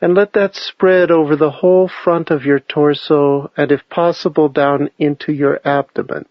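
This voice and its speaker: A man leading a guided meditation with calm, measured instruction and long pauses between phrases. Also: on the high side, no room sound, dull audio.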